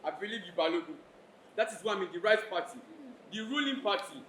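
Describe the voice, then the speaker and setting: A man's voice: high voice, expressive, fast talker, fairly bright tone. A man playing a newly crowned, scheming king in a stage play, speaking in a declamatory, stately way. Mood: plotting. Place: theatre stage. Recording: echoey stage hall, faint noise.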